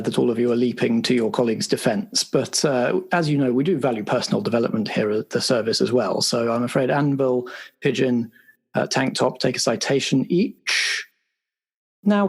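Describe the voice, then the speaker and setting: Man playing a gravelly, officious spy boss. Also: mid-range voice. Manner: stern, bureaucratic, pedantic. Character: officious male spy boss chairing a debriefing. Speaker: game master. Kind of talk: improvised audio roleplay.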